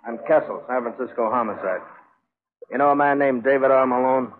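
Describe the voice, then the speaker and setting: Blunt hardboiled police detective played by a male actor, curt and deadpan, vintage radio crime drama, thin narrow-band sound. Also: mid-range voice, a little fast, natural voice. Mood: blunt, pressing. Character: brusque, blunt city homicide detective. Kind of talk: 1940s radio drama acting. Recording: tinny, narrow 1940s radio recording.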